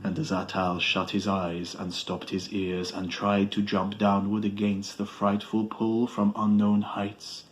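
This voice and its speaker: A man narrating a scripted horror story in a solemn, measured, dramatic way, as a podcast storyteller. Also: on the low side, monotone. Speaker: podcast host reading a story. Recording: music behind the voice.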